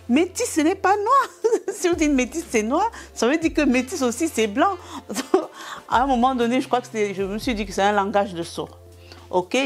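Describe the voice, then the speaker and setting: A middle-aged woman from Cameroon with a Cameroonian French accent, a comedian telling anecdotes in an interview, animated and laughing often between restarts. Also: a little high.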